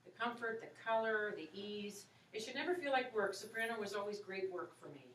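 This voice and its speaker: Bright-voiced woman in an echoey hall. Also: mid-range voice, a little fast. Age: 40s. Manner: chatty, encouraging, many "you know"s. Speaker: opera singer and voice teacher, guest speaker. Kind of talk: casual talk and Q&A with students. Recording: echoey room, far from mic, faint hiss.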